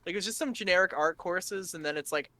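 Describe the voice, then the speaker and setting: A young man from Canada hosts a loose, casual chat with a high, bright voice that can pass for a woman's. He talks in a crisp, clear tone with a very dry, close sound. He rambles, swears freely and laughs easily. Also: dry recording. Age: 20s. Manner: rambling and profane, quick to laugh. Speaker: podcast host.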